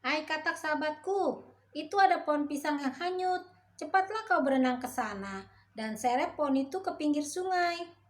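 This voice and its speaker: A woman's voice: high-pitched, moderate pace, pitched above the performer's own voice, natural voice. Sly greedy monkey, as a woman puts him on. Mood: eager. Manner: sweet-talking, coaxing. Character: sly greedy male monkey coaxing his friend.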